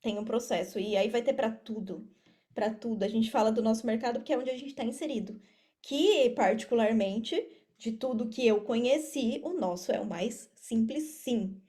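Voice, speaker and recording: A woman in her thirties with a Brazilian accent and a fairly high, mellow voice. She answers as a podcast guest in an informal, explanatory way. She often repeats phrases to drive a point home. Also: moderate pace. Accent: Brazilian Portuguese. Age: 30s. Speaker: podcast guest, affiliate marketer and mentor. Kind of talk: conversational interview answers.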